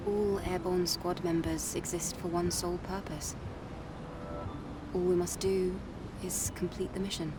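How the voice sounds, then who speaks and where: Woman doing a composed female soldier, matter-of-fact, lowish voice, a bit distant, music behind. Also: level tone, measured pace, background noise, close to an everyday voice. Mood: resolute. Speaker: game character. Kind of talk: video game cutscene dialogue. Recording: game audio, slightly distant, music behind.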